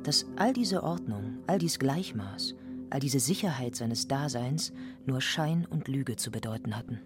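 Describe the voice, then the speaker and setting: A man with a husky voice narrating a literary audio drama. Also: mid-range voice, animated, a little slow, loud music behind the voice.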